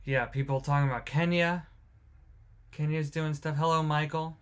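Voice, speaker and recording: A man's voice: a little high, steady tone, normal speed, smooth. Chatty, laughing male live-streamer. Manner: chatty, joking, greets viewers by name, laughs often. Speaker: host of a live news watch-along. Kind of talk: casual live-stream commentary.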